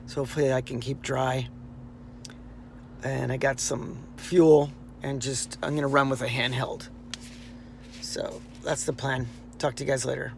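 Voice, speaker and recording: A man's voice: medium pitch, level tone, unhurried, vocal fry, noisy background. A man casually recapping a marathon for his vlog, chatty and rambling, with fillers and restarts. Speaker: runner vlogging a marathon. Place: outdoors and in a car.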